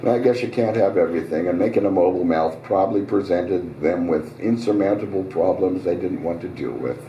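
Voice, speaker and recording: A man hosting a live reading, hoarse, telling his own short story in a relaxed, conversational way with small asides. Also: low-pitched, dull audio, a little hiss or hum. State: hoarse, voice getting raspy. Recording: roomy, distant restaurant recording.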